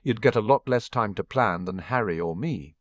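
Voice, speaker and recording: A man voicing a friendly, easygoing teenage boy in a relaxed, conversational way. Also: lowish voice, moderate pace, no room sound. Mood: easy. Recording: dull lo-fi dry recording.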